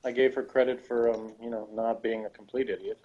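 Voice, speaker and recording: A man talks fast in an informal review conversation. His pitch sits mid-range and his manner is dry and teasing. The sound is somewhat dull and lo-fi, as from a remote call. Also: flat delivery, warm tone, slight background noise. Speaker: podcast reviewer. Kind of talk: casual review chat. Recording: dull lo-fi voice recording.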